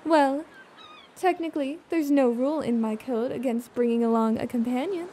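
A woman's voice: sits high, moderate pace, dark tone, slight background noise, lightly acted. A woman playing a smug, sly genie in an audio drama, poised, formal and teasing. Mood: serene, teasing. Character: smug, sly female genie, calm and worldly. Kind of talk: audio theater acting.

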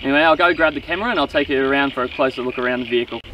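Middle-aged male vlogger, plain-spoken and casual, mid-pitched voice, outdoor recording with some wind noise. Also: racing, muffled recording. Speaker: vlogger, owner of a vintage off-road vehicle. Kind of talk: casual walkaround vlog talk. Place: outdoors in open grassy bushland.

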